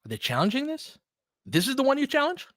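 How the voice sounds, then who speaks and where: A man's voice: very high voice, wildly swooping pitch, quick pace, fairly bright tone, no room sound, clean studio sound. A man doing informal, partisan live basketball commentary as a fan host, talking casually with some mild swearing.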